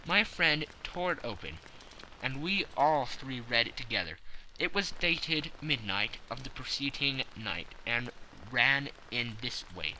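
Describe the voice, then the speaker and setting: A man narrating a classic detective story as an audiobook in a measured, steady way. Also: medium pitch, a little hiss or hum, rough recording.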